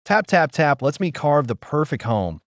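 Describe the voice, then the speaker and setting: A man's voice: a little high, very animated, moderate pace, no room sound, highs cut off, pitched above the performer's own voice. A man voicing a cheerful, enthusiastic woodpecker who speaks encouragingly in a children's story.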